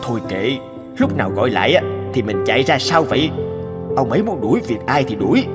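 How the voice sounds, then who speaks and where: A man's voice: mid-range voice, big pitch swings, brisk, over loud music, natural voice. A man voicing a busy, grumbling male research scientist in a cartoon, with a harried manner. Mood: exasperated. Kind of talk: cartoon voice acting.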